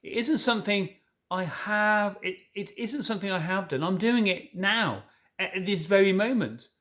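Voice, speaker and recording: A man's voice: sits high, animated, normal speed, a bit bright, pitched above the performer's own voice. A man reading a storybook as an anxious, tearful male fawn who stammers and pleads. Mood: agonised. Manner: stammering, pleading, self-reproaching.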